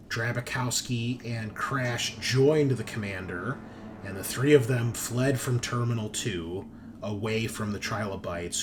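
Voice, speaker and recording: A man with a lowish voice works as game master for a tabletop roleplaying session. He tells the story in a casual, off-the-cuff way. Faint room noise sits behind him.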